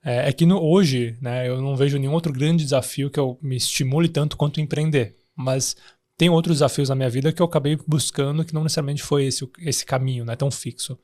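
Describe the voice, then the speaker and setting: A man's voice: medium pitch, fairly even intonation, quick pace, a little mellow. Taiwan-born guy with an araraquara accent, founder chatting on a podcast, lots of "né" and restarts. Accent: Araraquara Brazilian Portuguese. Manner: tags sentences with "né", frequent restarts. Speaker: guest, startup founder. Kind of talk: casual interview chat.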